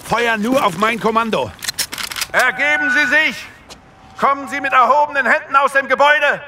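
Police squad leader in radio play, high raised voice, clipped orders, loud noise behind. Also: male voice, normal speed. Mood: commanding, tense. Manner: barked, clipped commands. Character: commanding British police officer leading a siege. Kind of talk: German audio drama acting.

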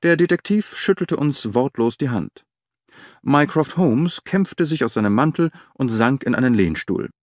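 A man narrating an audiobook in a measured, expressive reading. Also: mid-range voice, dry recording, clean studio sound.

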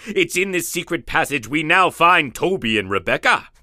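An actor playing an excitable, melodramatic narrator of an old-time radio mystery serial, theatrical and suspenseful. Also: male voice, on the high side, normal speed, no echo, full character voice. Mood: dramatic suspense. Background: music.

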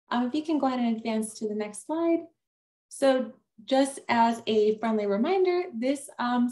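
A woman's voice: medium pitch, darkish tone. A woman admissions officer hosting an online webinar over a video call, friendly and dotted with "um" fillers.

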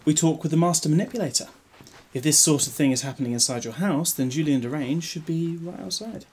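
A man's voice: a little high, fairly quick, darkish tone, husky. A man playing a cheerful, eccentric amnesiac time traveller in a fan-made audio drama. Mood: breezy. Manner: breezy, whimsical.

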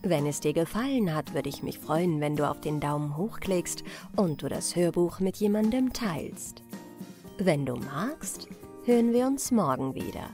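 A woman narrating a bedtime fairy-tale audiobook in a careful, expressive storytelling manner. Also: lowish voice, a little slow, soft background music.

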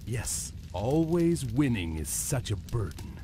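An actor playing an arrogant, haughty firstborn prince of a warrior clan in a video game. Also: male voice, mid-range voice, very animated, slow, warm tone, a little hiss or hum. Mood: smug, scornful. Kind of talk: dramatic game cutscene acting.

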